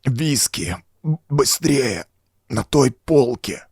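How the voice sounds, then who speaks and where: A man's voice: medium pitch, animated, takes it slowly, a little mellow, husky, no echo, studio quality, voice pushed up. A man voicing a dying old former cultist, groaning out a desperate, laboured confession for a horror audiobook. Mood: urgent, pained. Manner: groaning, laboured, dramatic delivery. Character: dying old male renegade cultist confessing in dread and agony. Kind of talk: acted audiobook dialogue.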